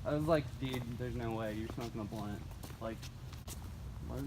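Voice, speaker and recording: A man's voice: mid-range voice, normal speed. A young American man chatting casually with friends and telling stories in slangy, profane language. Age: teens to young adult. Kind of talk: casual chat, storytelling among friends. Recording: noisy amateur recording with clear background noise.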